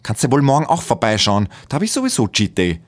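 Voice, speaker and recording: A man voicing a snippy, sporty young woman with an Austrian accent, high and teasing. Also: big pitch swings, fast talker. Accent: Austrian German. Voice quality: high, sassy.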